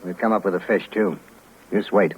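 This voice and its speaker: A man playing a steady, shrewd American police lieutenant in a 1950s radio crime drama, matter-of-fact and casually in command. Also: deep voice, moderate pace, lightly acted. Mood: businesslike. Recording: muffled, hissy old 1950s radio recording.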